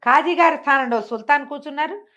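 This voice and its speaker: A woman's voice: high voice, fast, pushed and bright, highs cut off. A woman telling a moral tale expressively, explaining through dialogue and rhetorical questions. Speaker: storyteller. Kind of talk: animated oral storytelling of a moral fable, acting out dialogue.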